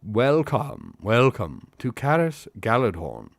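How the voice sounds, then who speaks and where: A man voicing a formal, stately elf guide from a fantasy storybook, deliberate and in a put-on accent. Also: mid-range voice, wildly swooping pitch, vocal fry, no room sound, voice pushed up, full character voice. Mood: solemn welcome.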